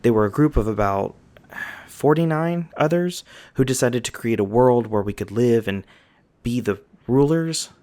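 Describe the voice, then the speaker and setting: A man's voice: mid-range voice, expressive, moderate pace, a little mellow, close to an everyday voice. A man playing an easygoing, folksy magi guide, explaining patiently in an ASMR roleplay. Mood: storytelling, thoughtful. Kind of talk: ASMR roleplay, conversational storytelling.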